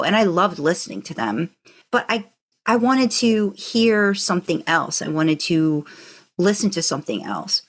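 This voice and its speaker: Female podcast co-host, lowish pitch, conversational storyteller, enthusiastic and discursive.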